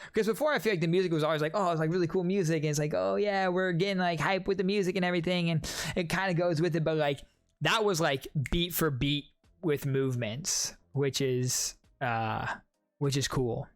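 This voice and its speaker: A man's voice: on the high side, fairly even intonation, brisk. A man giving informal, rambling reaction commentary on game trailers, musing as he goes.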